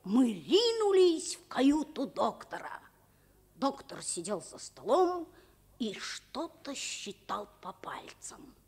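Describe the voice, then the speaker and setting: A woman's voice: a little high, sing-song, slow, darkish tone, husky, exaggerated voice. A woman playing an earnest, adventurous schoolboy of about ten in a children's radio play. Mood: breathless narrating. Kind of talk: Soviet children's radio play narration and acting.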